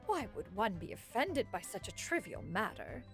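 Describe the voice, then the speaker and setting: A woman's voice: mid-range voice, big pitch swings, normal speed, loud music behind the voice, lightly acted. A woman voicing a proud, ancient female bird spirit who speaks in a lofty, archaic way and calls herself "one". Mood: haughty. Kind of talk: video game voice acting.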